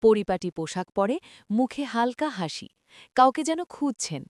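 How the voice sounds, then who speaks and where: A woman's voice: fairly high pitch, sing-song, measured pace, darkish tone, no room sound, clean studio sound. A woman narrating a cartoon story from a script.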